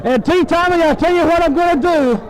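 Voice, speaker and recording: Male sports announcer, very high pitch, punchy short phrases, live play-by-play, vintage AM radio sound. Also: a little fast, low-quality recording. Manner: excited, emphatic calling in short phrases. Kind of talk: live football play-by-play commentary. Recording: 1970s AM radio sports broadcast.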